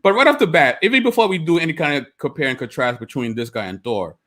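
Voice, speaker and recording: A man's voice: a little high, animated, quick pace, fairly bright tone. Brooklyn-raised man co-hosting a podcast. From United States (Brooklyn). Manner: conversational, reads sources aloud, self-correcting. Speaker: podcast co-host. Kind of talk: casual discussion, reading passages aloud.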